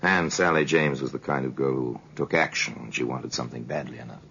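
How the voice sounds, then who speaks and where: An actor playing a worldly, sardonic narrator of murder tales in a 1950s radio drama, speaking in a measured, ominous, theatrical way. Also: male voice, very deep voice, vocal fry, a bit bright, full character voice. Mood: knowing. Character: worldly, sardonic male storyteller of true murders. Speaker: narrator of a crime anthology. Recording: dull, noisy 1950s radio recording.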